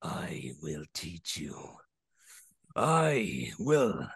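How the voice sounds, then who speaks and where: A man's voice: sits low, wildly swooping pitch, drawn-out words, slightly rough, dry recording, clean studio sound, pitched below the performer's own voice, exaggerated voice. A man playing a crazed anarchic warrior from a video game, taunting in a theatrical, menacing way. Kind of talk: video game villain monologue.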